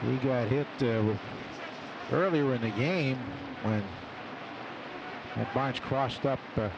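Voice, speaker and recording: A man's voice: mid-range voice, slow pace, muffled recording. A man doing live baseball play-by-play on the radio, in clipped phrases with statistical asides. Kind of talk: live sports commentary. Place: ballpark broadcast booth. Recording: live radio, loud stadium background noise. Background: clear ballpark ambience noise.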